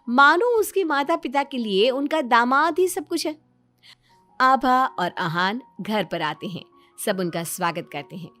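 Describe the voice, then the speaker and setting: A woman's voice: on the high side, a bit bright. A woman storyteller reading a scripted moral family story as narrator. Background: background music.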